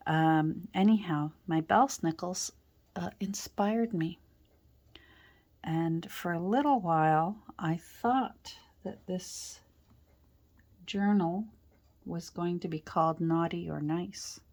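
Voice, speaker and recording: A woman with a stuffy, congested cold, chatting casually while she crafts, rambling softly and often dropping into a whisper. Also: lowish voice, slow pace. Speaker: journal-making crafter. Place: indoors at a craft worktable.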